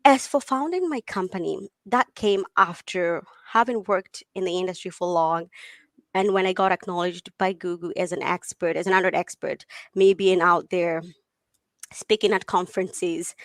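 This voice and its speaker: A woman whose first language is Swahili, a tech founder answering interview questions in a lively way, laughing often and restarting sentences. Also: mid-range voice, no echo. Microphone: video call.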